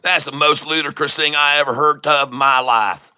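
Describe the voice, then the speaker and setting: A man with a very bright, sharp voice and a thick Southern US drawl. He plays up the folksy country-boy talk, boasting and cussing casually like a livestream host hyping up his followers. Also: on the high side, fairly even intonation, normal speed, clear, resonant tone. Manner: chuckles often, swears casually. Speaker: livestream stock trading host. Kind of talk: casual livestream chat, hype trading commentary.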